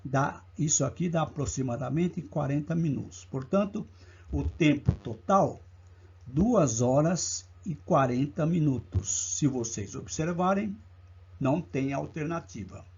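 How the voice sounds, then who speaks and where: A man's voice: a little high, expressive, creaky, darkish tone, husky, lo-fi sound. A man with a Brazilian Portuguese accent teaching math for a public exam, working through problems step by step and counting out each part aloud. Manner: slow, deliberate, counts steps aloud. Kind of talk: exam-prep lesson walkthrough.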